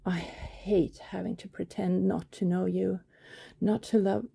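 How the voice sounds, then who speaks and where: A woman playing an affectionate, guilt-ridden vampire neighbor in an intimate ASMR roleplay, speaking in a soft, hesitant, confiding whisper. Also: mid-range voice, flat delivery, a little slow, creaky, breathy edge, no room sound, exaggerated voice. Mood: pained longing.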